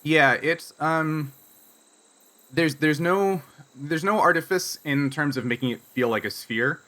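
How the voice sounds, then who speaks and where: A man's voice: a little high, unhurried, bright, forceful tone, rough recording. A man improvising narration as game master of a tabletop game in a conversational, explanatory way.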